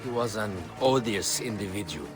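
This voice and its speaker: A man's voice: fairly low pitch, lively intonation, normal speed, over music, natural voice. An actor playing a refined, articulate advisor to an ancient Egyptian queen in a video game, speaking formally and instructively. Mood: grave, informative. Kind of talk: video game cutscene acting.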